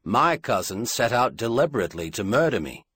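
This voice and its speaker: A sympathetic royal husband performed by a male narrator talks gently in a storybook drama. The delivery is rueful and expressive, with a husky grain to the voice. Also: mid-range voice, fairly quick, no room sound.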